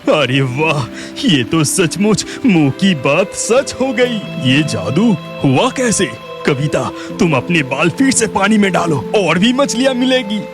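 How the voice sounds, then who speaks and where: A man voicing an excitable, food-loving village husband in a Hindi cartoon story, with expressive exclamations. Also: high-pitched, unhurried, music in the background. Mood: amazed.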